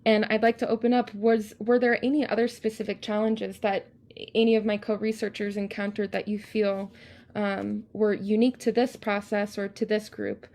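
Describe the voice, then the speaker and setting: A woman with a distinctly creaky, mid-pitched voice facilitates an academic panel. She is measured and deliberate, opening the floor to others in an inviting way. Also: level tone, darkish tone. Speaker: panel moderator and researcher.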